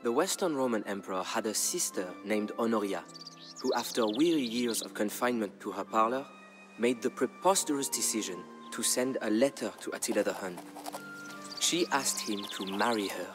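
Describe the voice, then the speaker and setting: A man playing a solemn storyteller in a strategy game, narrating war history in a measured, dramatic, deliberate way. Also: lowish voice, lively intonation, lightly acted. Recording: narration over background music. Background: music.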